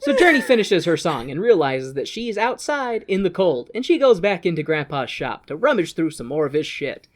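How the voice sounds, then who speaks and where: A man's voice: high voice, sing-song, brisk, hardly any pauses. A man co-hosting a podcast, giving a sardonic, sweary comic plot recap in a theatrical, chatty way. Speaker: podcast co-host.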